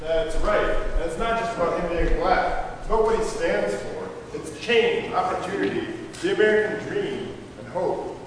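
A young man with a high-pitched voice delivers a scripted recitation. He is heard from far off in a very reverberant hall with clear noise. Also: animated, normal speed. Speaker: student presenter in a readers' theater. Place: large indoor hall. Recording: distant mic, very echoey noisy hall.

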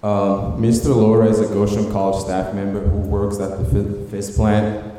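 A man with a very low, dark voice introduces speakers in an earnest, slightly halting way. He is from Sierra Leone and was raised in Belgium. His voice booms with a long reverb through a large hall. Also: level tone. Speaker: event emcee. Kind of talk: formal introductory speech.

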